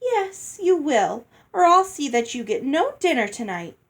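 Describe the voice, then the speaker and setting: A woman's voice: high voice, very animated, measured pace, voice pushed up, full character voice. A woman voicing a haughty, greedy, bossy girl from a storybook, condescending and falsely sweet. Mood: commanding.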